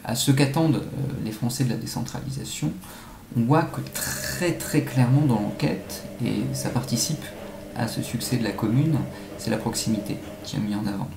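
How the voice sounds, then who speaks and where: A man giving measured, analytical answers as an academic expert being interviewed, with some halting phrasing. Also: mid-range voice. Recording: echoey room, faint-to-clear background noise.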